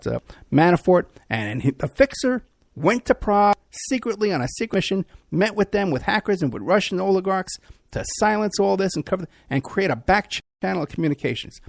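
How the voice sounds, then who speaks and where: A man hosting a podcast, ranting in an animated, repetitive talk-radio monologue, hoarse and losing his voice. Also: sits high, brisk, no echo. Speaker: podcast host.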